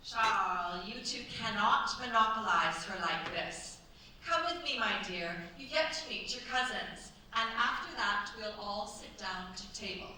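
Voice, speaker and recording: A woman's voice: medium pitch, animated, measured pace, bright, forceful tone. Woman acting a commanding older French mother-in-law, echoey hall. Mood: brisk, gushing. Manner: theatrical, commanding yet coaxing. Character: shrewd, soothing older French mother-in-law, chateau mistress. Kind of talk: staged radio play acting. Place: theatre stage at a cultural centre. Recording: very echoey stage, distant mic, faint noise.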